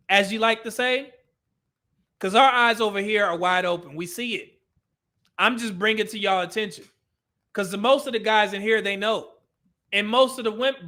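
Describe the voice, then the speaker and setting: A man's voice: sits high, sharp tone. A male commentary host ranting over clips, blunt and profane, with a preachy edge.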